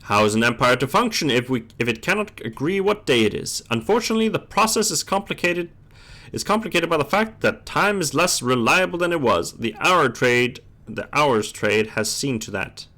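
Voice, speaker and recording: A man voicing a fastidious, earnest female ship's inspector from a game, formal in wording and halting as he reads. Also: medium pitch, animated, sharp tone. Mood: earnest, precise. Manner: formal wording, halting read-aloud delivery. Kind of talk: reading game dialogue aloud.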